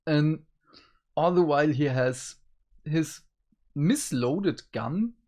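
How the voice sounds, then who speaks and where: Slow-talking man in casual review chat. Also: a little high, animated, darkish tone. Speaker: reviewer, co-host.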